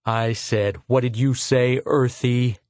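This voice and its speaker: A man's voice: mid-range voice, moderate pace, dry recording, natural voice. A man playing a harsh, scornful imperial lieutenant. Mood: menacing. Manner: harsh, contemptuous, curt.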